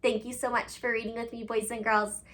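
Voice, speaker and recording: A woman's voice: fairly high pitch, little pitch movement, fairly quick, fairly bright tone. A woman reading a storybook expressively and talking directly to children like a teacher, drawing out key words for emphasis. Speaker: children's read-aloud host, teacher-like.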